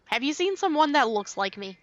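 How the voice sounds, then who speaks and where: A woman's voice: high-pitched, very animated, fairly quick, a bit bright, muffled recording, a little hiss or hum. A woman livestreaming a game with casual commentary, reading dialogue aloud and muttering to herself. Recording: faint game music behind the voice. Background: game music.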